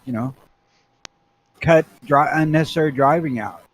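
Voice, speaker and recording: Blunt, higher-pitched man. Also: normal speed. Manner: blunt, opinionated, swears often. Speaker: podcast co-host. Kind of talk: casual profane chat.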